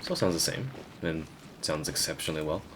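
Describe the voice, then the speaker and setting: Male gaming commentator: deep register, casual, hesitant think-aloud delivery, audible background noise. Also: animated, fairly quick. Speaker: gaming video creator.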